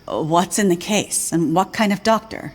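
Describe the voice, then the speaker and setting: An actress playing a grave older female scientist in a video game cutscene, speaking in a measured, solemn way. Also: on the low side, animated. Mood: resolute.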